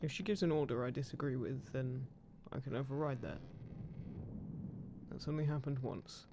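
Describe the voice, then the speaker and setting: A man's voice: mid-range voice, normal speed, background noise, natural voice. A man playing a guarded ghost pirate captain, protective of his crew, speaking plainly and unhurriedly to one listener in an audio roleplay. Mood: fond, assured. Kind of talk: immersive roleplay audio drama, spoken intimately to one listener. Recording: clear background ship-creak sound effects. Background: creaking wooden ship ambience.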